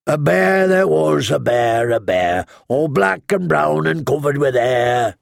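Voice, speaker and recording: A male narrator performs a huge jester in a fantasy audiobook. He is jolly and eager to please, booming and theatrical in delivery. The sound is close and dry, with a creaky edge. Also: very animated, a little slow, no echo, full character voice. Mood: bellowing, merry. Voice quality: booming, big.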